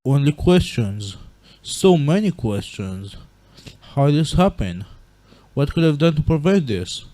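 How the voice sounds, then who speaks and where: A man acts out a monster king for a fan comic dub, with a fairly high voice for a male and a dry, close sound. He is solemn and earnest, a grieving father weighed down by regret. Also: very animated, measured pace, dark tone, no room sound. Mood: despairing.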